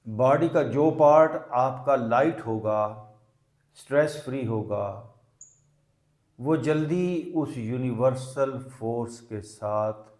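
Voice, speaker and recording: An echoey recording of a man guiding a meditation. He speaks very slowly in short, deliberate phrases and leaves long pauses between them. His voice sits at a medium male pitch. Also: a little mellow. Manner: mixes English words into Hindi. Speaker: yoga and meditation teacher. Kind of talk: guided meditation instruction. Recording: echoey, reverberant room sound.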